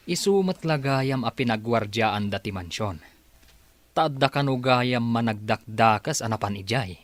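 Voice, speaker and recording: A man acts a scheming male sweetheart in a radio drama, smooth-talking and crafty. The delivery rises and falls freely around a middle pitch. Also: animated, unhurried, dry recording, close to an everyday voice. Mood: sly, reassuring.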